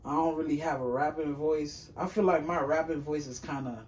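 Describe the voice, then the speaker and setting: A young man who raps and sings, talking casually on a podcast in a loose, rambling way, full of slang and fillers. His voice is on the high side. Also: fairly even intonation, normal speed, a little mellow, recorded from a distance, slight background noise. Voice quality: high-pitched voice. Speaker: rapper and singer guest.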